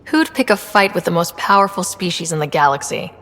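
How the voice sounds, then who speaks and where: A woman's voice: lowish voice, lively intonation, a little fast, a bit bright. A woman playing an earnest, inquisitive young female explorer in conversational video game dialogue. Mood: curious, friendly. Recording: long reverb, music behind.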